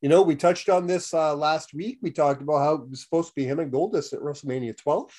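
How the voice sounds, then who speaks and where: A man in his thirties co-hosting a casual podcast while sick, his voice starting to go. He talks in a fairly high register for a man, rambling through plenty of fillers and restarts. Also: quick pace, a little mellow. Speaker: podcast co-host. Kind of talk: casual wrestling podcast chat.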